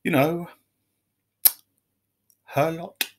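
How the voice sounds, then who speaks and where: A man voicing a stuffy middle-aged husband in a storybook, nervous, halting and mumbling. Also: fairly high pitch, steady tone, voice pushed up.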